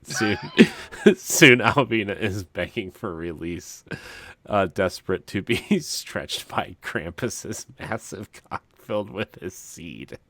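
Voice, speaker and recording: A man's voice: lowish voice, huge pitch swings, slow pace, sharp tone, breathy edge, no room sound. A man co-hosting a podcast, doing comic readings for friends and often breaking into laughter as he reads. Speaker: podcast co-host. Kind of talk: comic dramatic reading of book descriptions among friends.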